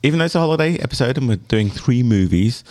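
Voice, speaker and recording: A man's voice: medium pitch, animated, fast talker, a little mellow. A man hosting a film podcast, chatting casually and joking with his co-hosts. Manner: chatty, jokey, with fillers. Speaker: podcast host.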